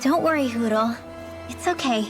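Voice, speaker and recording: A woman's voice: on the high side, normal speed, music in the background, lightly acted. A woman playing a confident, cheeky teenage girl guide in a video game cutscene. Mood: spirited.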